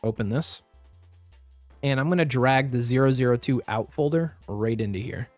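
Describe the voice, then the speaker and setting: A man with a slightly raspy voice, recovering from the flu, giving a step-by-step software tutorial as a voice-over. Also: medium pitch, moderate pace, no echo, soft background music. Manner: step-by-step instructive, plain and practical. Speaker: tutorial host. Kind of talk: screen-recorded software tutorial.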